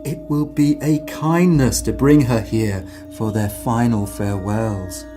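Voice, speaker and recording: A man's voice: fairly low pitch, a little slow, over music, lightly acted. Man playing brainy, declamatory palace guard Horatio. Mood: grave, eloquent. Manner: declamatory, mock-Shakespearean.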